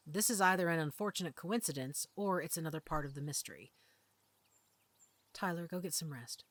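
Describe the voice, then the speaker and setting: A woman plays a werewolf mother in charge of her pack in an audio roleplay. Her voice sits fairly low and is recorded dry. She gives orders calmly, with a protective firmness. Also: no room sound. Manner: calm, commanding, protective.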